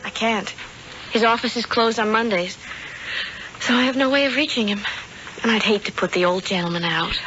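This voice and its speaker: Woman acting an innocent, high-voiced young woman. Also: normal speed, pauses often, fairly bright tone, lightly acted. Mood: apologetic. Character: innocent, eager, polite young woman houseguest. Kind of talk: 1970s radio drama acting. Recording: muffled, noisy vintage radio broadcast.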